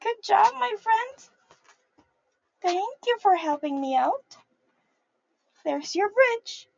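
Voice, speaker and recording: Slow animated woman reading to kids. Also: extremely high pitch, vocal fry, no echo, dull audio. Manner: slow, animated, sing-song, addressing children as friends. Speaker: early childhood storyteller. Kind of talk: picture book read-aloud for children.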